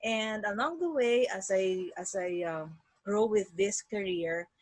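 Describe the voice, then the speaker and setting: A Filipino woman giving conversational, earnest interview answers in an animated way over a video call. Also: medium pitch, husky, no room sound, rough recording. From Philippines. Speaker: interview guest, virtual assistant.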